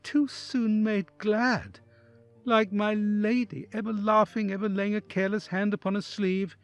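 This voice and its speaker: A man's voice: high voice, flat delivery, measured pace, clear, resonant tone, faint music behind. A man playing a troubled, fearful and sympathetic envoy in an audio drama, delivering an intense, reflective interior monologue in theatrical style. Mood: pitying. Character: troubled male envoy, sympathetic, fearful, inwardly agonised listener.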